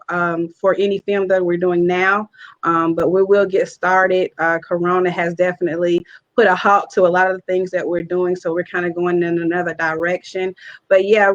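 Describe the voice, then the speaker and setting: A woman guest casually introducing herself in a halting, low-key way over a muffled lo-fi online call. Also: a bit bright. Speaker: guest, stage play writer-director.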